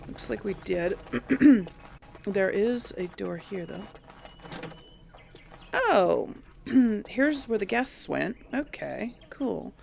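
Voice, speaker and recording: A woman's voice: mid-range voice, lively intonation, very slow, gravelly, long pauses, darkish tone, a little hiss or hum. A woman hosting a role-play gaming video, musing aloud in casual, chatty commentary and reading out dialogue choices. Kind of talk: casual game commentary mixed with in-character dialogue.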